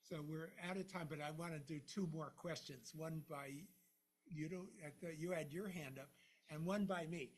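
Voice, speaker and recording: A man moderating the question session after a lecture, brisk as he manages the time. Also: fairly high pitch. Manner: brisk, brings the session to a close. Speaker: event moderator, professor. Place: lecture room.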